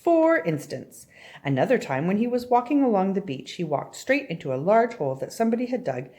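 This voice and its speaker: A woman's voice: medium pitch, big pitch swings, fast, dark tone. A woman reading a children's story aloud expressively, often turning to address young listeners directly with questions. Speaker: storybook reader.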